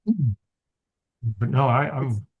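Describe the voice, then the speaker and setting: A man with a raspy voice who hosts a group video call. He rambles casually, restarting often. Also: medium pitch, big pitch swings, very slow, narrow, tinny audio. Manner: rambling, with many restarts and chuckles. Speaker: host and mythologist.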